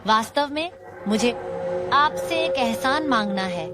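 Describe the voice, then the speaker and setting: A bold young fighter girl speaks in a dubbed game cutscene. Her voice sits a little high and sounds eager and playful. Loud game music plays behind her. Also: expressive, unhurried.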